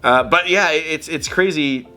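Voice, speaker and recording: A man with a Filipino Canadian accent, a voice actor answering interview questions in an animated, chatty way with lots of fillers. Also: a little high. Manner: animated, chatty, quick laughs, many fillers. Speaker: voice actor guest.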